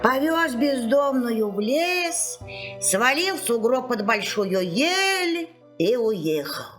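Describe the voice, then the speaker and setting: A woman storyteller giving an expressive scripted fairy-tale narration. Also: a little high, takes it slowly. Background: music.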